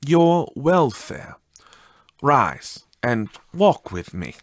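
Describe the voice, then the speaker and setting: A man voicing a gentle, solemn storybook ghost, childlike yet ancient, in calm and measured pronouncements. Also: medium pitch, dry recording, clean studio sound, pitched above the performer's own voice, exaggerated voice. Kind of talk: dramatised storybook reading.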